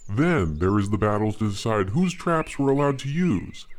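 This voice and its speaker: An actor playing a pompous, grandiose village chief in a comic radio play. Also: male voice, on the low side, sing-song, moderate pace. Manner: grandiose, theatrical declarations. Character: pompous, self-declared village chief hunting his rival. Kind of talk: scripted radio-play comedy acting. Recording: noisy recording.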